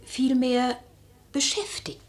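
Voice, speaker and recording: A woman's voice: high voice, level tone, slow pace. An actress playing a respectful maidservant to a noble lady in a classic German radio play. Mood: respectful, attentive.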